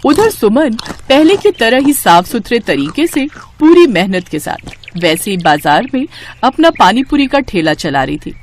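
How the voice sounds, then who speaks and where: Casting: female narrator for moral bedtime tales, slightly high pitch, very animated intonation. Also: measured pace. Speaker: storyteller. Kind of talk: scripted moral story narration.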